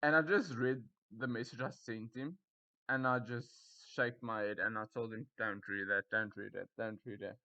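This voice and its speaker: A young man talks in rambling, casual commentary that breaks into loud disbelief. His voice is bright and forceful, and the sound comes through a muffled, lo-fi mic. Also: mid-range voice, steady tone, moderate pace. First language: Afrikaans. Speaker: YouTuber reviewing Reddit posts. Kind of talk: casual commentary reading posts.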